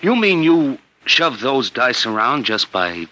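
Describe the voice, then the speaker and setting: An actor playing an eager, deferential research colleague with a folksy, polite, slangy manner and an American accent, in mid-century radio drama style. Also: male voice, mid-range voice, big pitch swings, slow, pushed and bright, lo-fi sound, heavily acted. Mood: amazed. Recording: old radio recording with faint noise.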